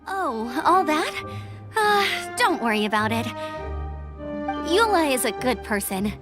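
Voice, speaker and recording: An actress playing a cheerful, earnest young female scout knight in a fantasy game, chirpy, expressive and informal. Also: high-pitched, slow, full character voice. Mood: upbeat, reassuring. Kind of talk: fantasy video game dialogue. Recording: game audio with clear background music.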